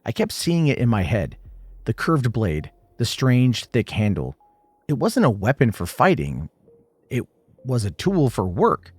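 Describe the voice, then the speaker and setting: A man's voice: mid-range voice, a little mellow, husky, dry recording. A man narrating a scripted first-person horror story in short, suspenseful, dramatic phrases.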